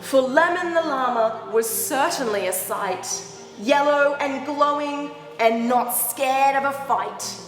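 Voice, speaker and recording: A woman reading a picture book aloud to young children, expressive, with animated, exaggerated reactions and asides. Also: sits high. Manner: animated, exaggerated reactions with gasps and drawn-out words. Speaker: children's storyteller. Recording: roomy echoing hall sound, background music. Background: music.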